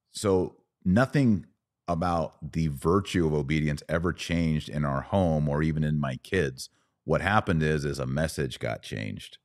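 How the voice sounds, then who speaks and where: Very deep-voiced man in podcast discussion.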